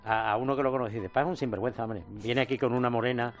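Fast-talking joking radio co-host. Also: male voice, medium pitch, steady tone, faint music behind. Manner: joking banter.

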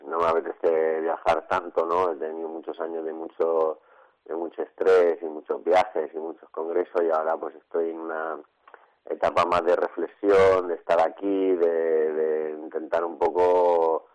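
A man's voice: rumbling low, flat delivery, moderate pace, lo-fi sound. A middle-aged Spanish man from La Mancha, a chef being interviewed, talking casually and hesitantly with many fillers and restarts. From La Mancha, Spain.

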